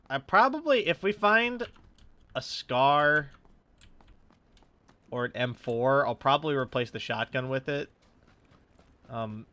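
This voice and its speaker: Guy gamer doing lets play chatter, bright punchy voice, bit stuffed up, rambles and swears. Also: medium pitch. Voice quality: slightly stuffed-up nose. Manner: rambling, thinking aloud. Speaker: gaming YouTuber. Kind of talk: casual let's play commentary, swearing. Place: his room at home.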